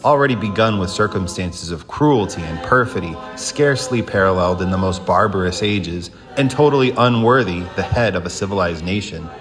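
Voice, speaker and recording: Male community reader, slightly deep, formal text, reverberant hall sound with music. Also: expressive, brisk, hardly any pauses. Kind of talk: scripted reading of historical document.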